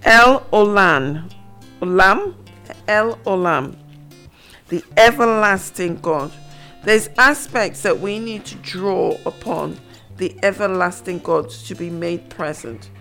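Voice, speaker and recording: Female radio Bible teacher, unhurried and reflective, soft guitar bed under her. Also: medium pitch. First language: not Hebrew. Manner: slow, reflective, reads scripture aloud. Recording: faint guitar music behind. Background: soft guitar music.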